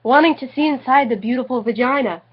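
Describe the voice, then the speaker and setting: A woman's voice: high voice, fast talker, a little hiss or hum. A tipsy woman giving a theatrical, mock-dramatic reading of comic fan fiction, prone to giggling. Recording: slightly dull lo-fi home recording.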